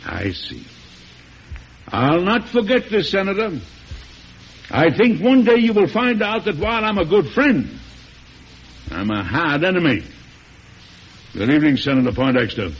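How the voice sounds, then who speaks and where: A man acting a stubborn, commanding American president in mid-century radio drama, with a husky voice and blunt, authoritative delivery. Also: on the high side, very animated, unhurried, pauses often, heavily acted. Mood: stern, wounded. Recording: muffled old radio broadcast.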